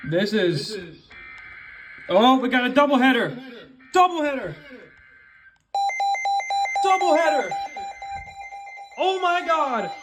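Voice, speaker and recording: An excitable man giving casual live storm commentary, muttering and exclaiming to himself as a livestream host. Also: very high-pitched, slow, long pauses. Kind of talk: live severe weather commentary, casual chat. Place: home studio during a livestream. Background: weather radio alert playing.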